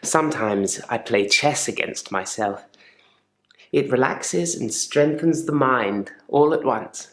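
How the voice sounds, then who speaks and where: An unsettling male patient confiding in his therapist in a game drama. His mid-range voice is measured and self-controlled, politely probing with a quiet menace. The room around him rings with noticeable echo. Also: medium pitch, expressive. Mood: calm, reflective. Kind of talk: live-action game drama monologue.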